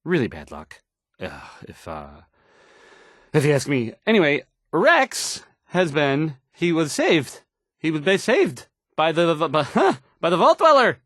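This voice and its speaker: Stammering, anxious young radio DJ. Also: male voice, on the high side, huge pitch swings, a little slow, fairly bright tone, no echo, studio quality, heavily acted. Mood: hesitant, awkward. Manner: stammering, hesitant, self-doubting, trailing off. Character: shy, anxious, awkward young male radio DJ. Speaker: radio DJ reading news. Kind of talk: awkward radio news patter.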